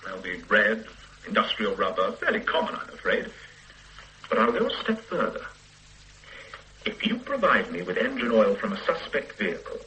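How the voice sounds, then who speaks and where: An actor playing a pedantic, self-satisfied forensic scientist who lectures the police in a radio drama. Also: male voice, medium pitch, sharp tone, a little hiss or hum, rough recording. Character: pompous middle-aged male forensic scientist. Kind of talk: 1970s radio drama acting.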